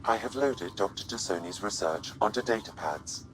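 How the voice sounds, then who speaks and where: An actor voicing a calm, literal-minded artificial intelligence in a video game, speaking formally with deadpan precision. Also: male voice, lowish voice, moderate pace, noisy background, lightly acted. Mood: calm, factual.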